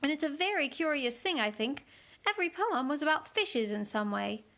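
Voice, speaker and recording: A woman's voice: sits high, sing-song, normal speed, fairly bright tone, voice pushed up, full character voice. A woman voicing a polite, slightly anxious young girl, reading a storybook aloud.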